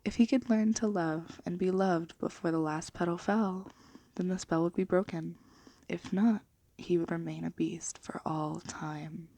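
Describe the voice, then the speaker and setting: A woman speaking in a gentle, unhurried, hushed and breathy ASMR style, soft-spoken and half whispered, as for bedtime stories. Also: on the low side, fairly even intonation, no echo. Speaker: ASMR video host and storybook reader.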